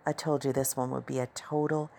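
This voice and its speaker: A man's voice: mid-range voice, little pitch movement, a little fast, gravelly, dark tone, slight background noise, pitched above the performer's own voice, natural voice. A man voicing a teasing, dominant adult woman in an audio story, coaxing and confident. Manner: coaxing pet names, teasing commands.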